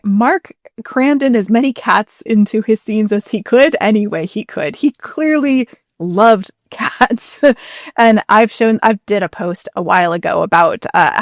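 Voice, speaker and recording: A woman's voice: mid-range voice, no room sound, clean studio sound. A woman co-hosting a talk show, explaining things in a casual, chatty way. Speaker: podcast co-host.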